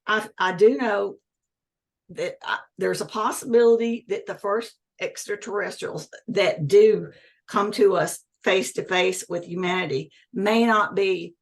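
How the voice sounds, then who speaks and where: A woman's voice: mid-range voice, measured pace, vocal fry. A woman in her sixties, a guest in an interview, speaking earnestly and emphatically and repeating key phrases. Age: 60s. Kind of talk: conversational interview.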